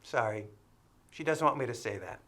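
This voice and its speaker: A man's voice: medium pitch, lively intonation, normal speed, fairly bright tone. An actor in his fifties playing a man recalling a day of his life for an interview. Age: 50s. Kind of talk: film dialogue.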